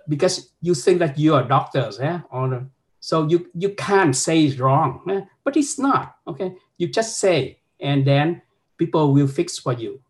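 A man in his fifties with a Vietnamese accent, a guest instructor talking frankly on a video call from a small home office, with chuckles and tag questions. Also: medium pitch. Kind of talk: casual video call chat. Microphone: laptop webcam mic on video call.

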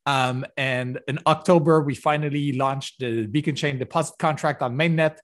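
A man giving a technical keynote over a video call, halting with many fillers and restarts. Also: medium pitch, fairly even intonation. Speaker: conference keynote speaker. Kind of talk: technical conference talk. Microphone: video call webcam.

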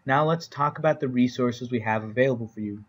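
A man's voice: mid-range voice, a little fast, breathy edge, lo-fi sound. An American man giving an explanatory slide presentation as an instructor, in plain, informal phrasing. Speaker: instructor presenting training for code officials. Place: voice-over recorded for a slide presentation.

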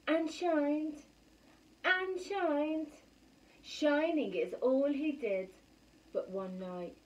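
A woman in her thirties reading a picture book aloud for children, expressive and full of exclamations and questions. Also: high-pitched, muffled recording, a little hiss or hum. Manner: animated, exclaiming, asking rhetorical questions. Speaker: primary school bedtime story reader. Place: small room at home. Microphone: camera a metre or two away. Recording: slightly distant phone camera recording.

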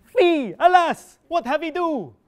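A man reading aloud, voicing a lovesick, fawning young parish clerk with slow, drawn-out sweet talk. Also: high-pitched, animated, a bit bright, clean tone. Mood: shocked.

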